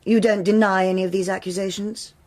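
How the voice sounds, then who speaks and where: Woman voicing a stern police superintendent, measured, formal, authoritative. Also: medium pitch, level tone, a little mellow, close to an everyday voice. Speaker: senior police officer. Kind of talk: radio crime drama acting.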